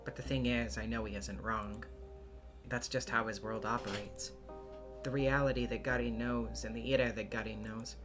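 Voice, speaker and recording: A solo performer acts out a fragile Soviet schoolgirl narrating her own grief in a visual novel. The speech is halting and formal, full of self-reproach, and liable to strain upward into tearful outbursts. Music plays clearly behind it. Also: medium pitch, close to an everyday voice. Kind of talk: visual novel voice acting, first-person narration.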